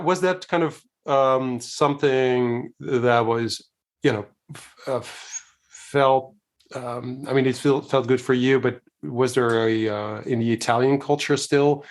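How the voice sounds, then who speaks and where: A middle-aged man hosting an interview, asking long, searching questions full of fillers and restarts. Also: mid-range voice. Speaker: interviewer, host. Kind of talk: conversational interview.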